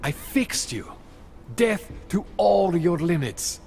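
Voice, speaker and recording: An actor playing an excitable, boastful male augmentation doctor in a video game cutscene. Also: high voice, slow pace, creaky, soft background music, heavily acted. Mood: eager. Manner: eager, boastful, joking. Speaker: tech specialist fixing implants.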